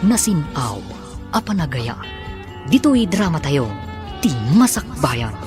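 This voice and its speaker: A man's voice: fairly high pitch, sing-song, slow, music in the background. A man with an Ilocano Filipino accent giving dramatic, emphatic radio narration as a serial's announcer, stretching key words.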